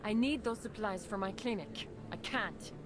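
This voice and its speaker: An actress playing an anxious, gentle clinic doctor in a video game, speaking in an earnest, worried way. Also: female voice, medium pitch, normal speed, over music, close to an everyday voice. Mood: pleading.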